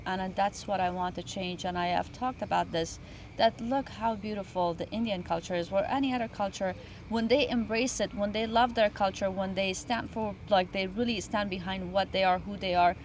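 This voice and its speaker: A woman from Afghanistan hosts an interview, talking in an earnest, emphatic way. She keeps repeating her phrases as she makes a point. Her voice sits at a middle pitch, recorded outdoors with faint traffic in the background. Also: darkish tone. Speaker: talk show host. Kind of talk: heartfelt interview talk. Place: outdoors in a botanical garden.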